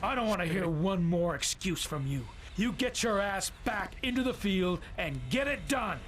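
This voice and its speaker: A man's voice: high voice, expressive, normal speed, faint music behind. An actor playing a ruthless male general in a game cutscene, giving cold, clipped orders. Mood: stern. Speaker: military commander. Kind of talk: video game cutscene acting. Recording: voice under loud orchestral score.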